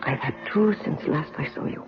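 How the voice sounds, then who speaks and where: An actress playing a devoted, resourceful general's wife in an old-time radio drama, with an earnest, measured manner. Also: female voice, low-pitched. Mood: discreet, worried. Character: devoted, resourceful French general's wife, anxious but practical. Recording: tinny, band-limited old radio broadcast, music.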